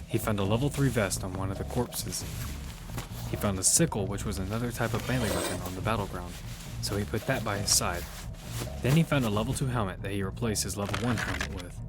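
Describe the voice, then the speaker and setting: A man with a fairly low voice narrates audio fiction from a script. Music plays clearly behind him. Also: fairly quick.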